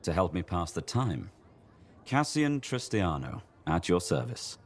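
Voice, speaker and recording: A man's voice: deep voice, big pitch swings, measured pace, exaggerated voice. An actor playing a playful, joking male traveler in a video game cutscene. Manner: joking, teasing, theatrical.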